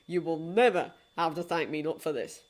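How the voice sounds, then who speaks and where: A woman's voice: low voice, moderate pace, darkish tone, close to an everyday voice. A woman reading the part of an ordinary earnest man who talks in a casual, blunt, argumentative way.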